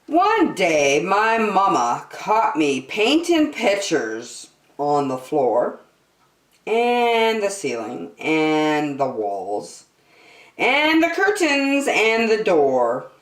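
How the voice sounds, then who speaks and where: Slow, animated woman reading to children, echoey. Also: fairly low pitch. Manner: slow, animated delivery addressed to young children. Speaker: children's storytime host and reader. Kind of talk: sing-song picture book read-aloud for children. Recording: roomy echo, distant microphone.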